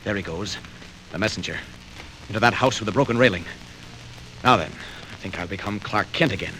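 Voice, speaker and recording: An actor playing a bold, self-assured reporter who is secretly a superhero, brisk and declamatory in 1940s radio serial style. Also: male voice, lowish voice, pushed and bright. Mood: calm, scheming. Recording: hissy, crackly old radio record transfer.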